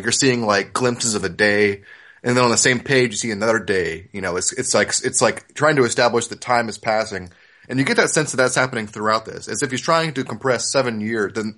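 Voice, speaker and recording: Bright-voiced, low-pitched man in casual discussion. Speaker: podcast co-host. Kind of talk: casual discussion of a comic series.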